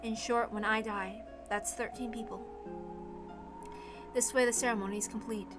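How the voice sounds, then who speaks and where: A woman voicing a resigned, dispassionate woman in a story reading, speaking in a measured, calm way. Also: on the high side, music in the background, lightly acted.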